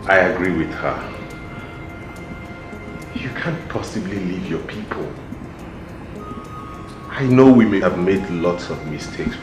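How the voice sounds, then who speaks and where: A man's voice: sits low, animated, slow, long pauses, echoey room, over music. An actor playing a jealous, pompous royal prince who insults his brother. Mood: pleading. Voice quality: pompous, scornful. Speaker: royal prince in a succession feud. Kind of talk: film drama dialogue.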